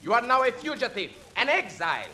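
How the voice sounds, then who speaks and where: A man acting in a 1950s radio comedy as an excited, accusing revolutionary with a declamatory delivery. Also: very high-pitched, brisk. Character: shrill, accusing male revolutionary confronting a duke. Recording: faintly noisy vintage radio broadcast.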